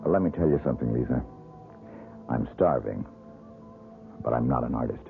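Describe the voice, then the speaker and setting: An actor playing a poor, bitter painter haunted by one recurring nightmare, talking in a brooding, self-mocking way in a radio drama. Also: male voice, rumbling low, lively intonation, normal speed, gravelly, exaggerated voice. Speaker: struggling painter. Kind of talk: 1970s radio drama acting. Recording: tinny old radio broadcast, faint music.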